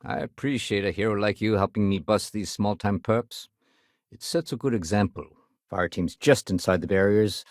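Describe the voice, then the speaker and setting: A man playing a steady, dutiful security sergeant in a video game, speaking conversationally with official firmness and dry wit. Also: on the low side, normal speed, dry recording, close to an everyday voice. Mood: instructing. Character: steady, dutiful male spaceport security sergeant, dryly wry.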